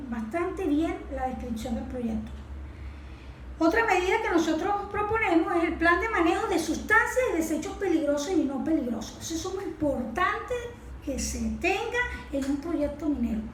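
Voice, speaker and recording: A woman's voice: extremely high pitch, a little hiss or hum. A Venezuelan woman speaking as an interview guest, explaining in an informal, didactic way and often checking for agreement. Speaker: interview guest, environmental consultant. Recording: echoey room, distant mic, music behind.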